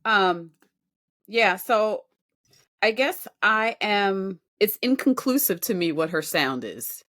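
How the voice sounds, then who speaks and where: A woman co-hosting a music podcast, offering casual, measured and constructive commentary on singing. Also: medium pitch, lively intonation, a bit bright. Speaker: podcast co-host, vocal critic.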